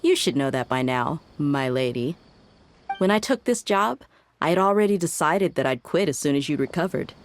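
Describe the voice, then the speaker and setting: A woman's voice: low-pitched, expressive, moderate pace, a little hiss or hum. An actress playing a bold, adventurous female bodyguard in a video game, casual and self-assured. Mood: confident, teasing. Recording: faint music behind the voice.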